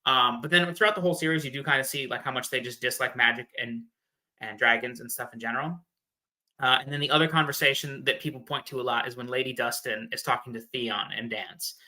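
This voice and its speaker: Very bright, forceful man explaining casually. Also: mid-range voice, fairly even intonation, fast talker, low-quality recording. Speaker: guest theorist on a fan discussion show. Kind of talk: casual chat explaining fan theories.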